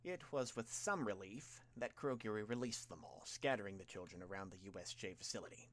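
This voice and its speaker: A man narrates a piece of fiction in a fairly low voice. He reads from a script as an audiobook narrator, with expressive delivery. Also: a little fast.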